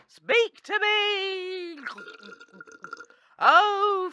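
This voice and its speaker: Casting: brazen British female clairvoyant acted by a woman for radio comedy, piercing and unapologetic, high register. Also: pushed and bright, no echo, full character voice. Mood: theatrical trance. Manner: matter-of-fact, unapologetic. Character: brazen, blunt post-apocalyptic female fortune teller. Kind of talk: comic radio acting.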